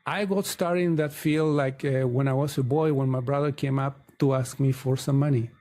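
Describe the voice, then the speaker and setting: A man's voice: medium pitch, steady tone, moderate pace, dark tone. A middle-aged man from Chile, a Spanish speaker, telling his story conversationally as an interview guest. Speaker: podcast guest, mortgage broker.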